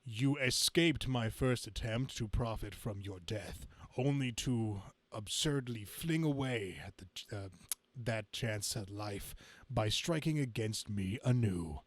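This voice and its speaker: A man's voice: lowish voice, measured pace, no room sound. A megalomaniac monster villain from a comic book, acted out by a man, proclaiming his menace with theatrical pomp. The delivery is grand and boastful, in a dry, close recording. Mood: gloating. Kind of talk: amateur dramatic comic-book reading.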